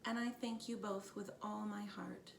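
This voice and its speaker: A woman reading a storybook, voicing a gentle, wise enchantress with measured, composed delivery. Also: mid-range voice, fairly even intonation, creaky. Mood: kindly. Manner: soft-spoken, measured. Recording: roomy, distant mic, faint noise.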